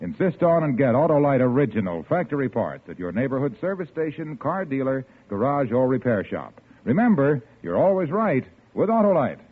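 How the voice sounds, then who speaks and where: A man's voice: mid-range voice, very animated, fairly quick, hardly any pauses. A man announcing commercials and credits for an old-time radio program, in a persuasive, salesy way. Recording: tinny old radio broadcast.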